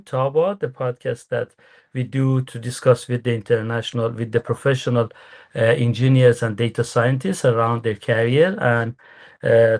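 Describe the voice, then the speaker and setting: A man's voice: mid-range voice, a little mellow. A man whose first language is Persian, hosting a podcast in English with friendly, slightly unidiomatic phrasing. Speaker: podcast host.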